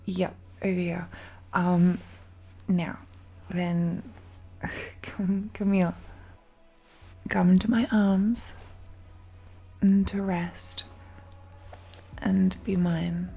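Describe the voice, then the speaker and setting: A woman's voice: lowish voice, creaky, mellow, breathy edge, exaggerated voice. A woman playing an affectionate, possessive dragon-blooded fiancée in an intimate romantic audio roleplay, murmuring tenderly and a little hesitantly. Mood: tender, shy.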